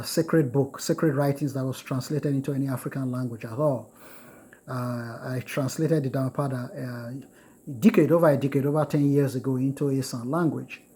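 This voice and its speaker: A man giving an informal lecture, earnest and repetitive, with many filler pauses. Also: medium pitch, warm tone, husky. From United States. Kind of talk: informal recorded lecture on Buddhism.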